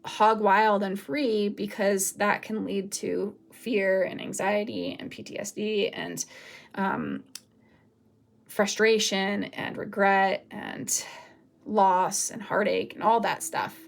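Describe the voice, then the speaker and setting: A woman reads tarot for her viewers in a loose, wandering way, often pausing to search for words. Her voice is raspy from a recent illness. She talks in a relaxed, casual manner. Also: mid-range voice. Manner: rambling, loose and searching, many fillers. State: raspy from recovering from flu. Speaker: tarot reader. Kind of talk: casual pick-a-card tarot reading.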